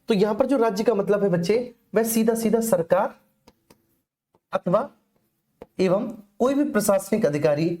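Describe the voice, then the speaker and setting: A man's voice: high-pitched, mellow. A man teaching an informal live online exam class, instructing repetitively and often checking that students follow.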